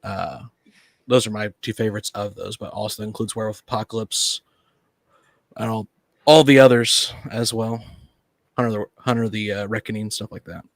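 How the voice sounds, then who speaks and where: A man's voice: fairly low pitch, fairly even intonation, normal speed, gravelly, no echo. A man speaking as a podcast guest in a casual panel discussion, often thinking aloud.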